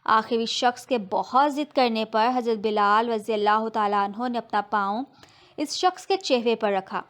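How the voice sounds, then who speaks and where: A woman storyteller reads a scripted moral tale for children. Her voice sits fairly high, and she narrates in complete, prepared sentences. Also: normal speed, a bit bright.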